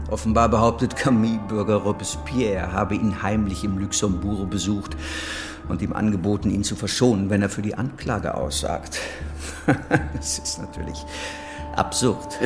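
Male actor as calculating state official, radio play, lowish voice, brisk controlled manner, music underneath. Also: fairly even intonation, close to an everyday voice. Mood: cold, calculating. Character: cold, calculating official plotting a rigged trial. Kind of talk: radio drama acting.

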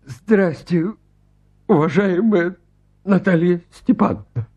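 A man's voice: sits high, slow pace, darkish tone, breathy edge, muffled recording, full character voice. An actor playing a flustered, stammering bachelor suitor in his thirties, anxious and hypochondriac, in a comic radio play. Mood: anxious, agitated. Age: 30s. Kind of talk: stage comedy acting in a radio play.

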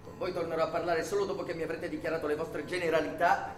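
A man's voice: on the high side, little pitch movement, fast talker, lightly acted. An actor playing an articulate, defiant man under arrest who insistently questions his captors in a theatrical radio drama. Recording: roomy echo with music behind.

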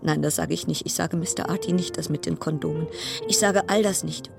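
A woman's voice: sits low, steady tone, brisk, creaky. Wry, anxious stranded woman, music underneath. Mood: confiding, uneasy. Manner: literary storytelling, wry, broken-off sentences. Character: weary woman passenger stranded on plague-stricken cruise ship, wry, anxious. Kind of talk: radio drama monologue.

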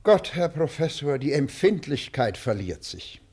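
An actor playing an earnest, courteous poet in a German radio play, speaking in a polite, measured, lyrical way. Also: male voice, a little high, very animated, darkish tone, slightly rough, exaggerated voice. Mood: earnest, reminiscing. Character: earnest, courteous male poet with a vagabond past.

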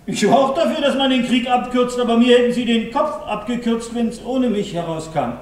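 A man's voice: extremely high pitch, a little fast, darkish tone. A man playing an embattled, defensive worker justifying himself in a stage drama. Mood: defensive, agitated. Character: defensive, embattled male worker despised by his colleagues. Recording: distant, very echoey stage recording.

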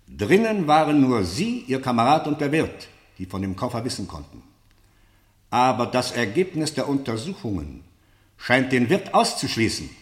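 A stern, sceptical interrogator in a radio play. He speaks formally and insistently in a medium-pitched voice that rises and falls dramatically. The room gives his pointed questions a clear echo. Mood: sceptical, methodical. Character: stern, probing male interrogator, sceptical and relentless.

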